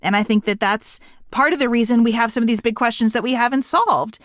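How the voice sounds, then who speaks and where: A woman's voice: fairly high pitch, brisk, no echo, clean studio sound. A woman co-hosting a podcast, discussing a book casually in a thoughtful, articulate way. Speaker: podcast co-host.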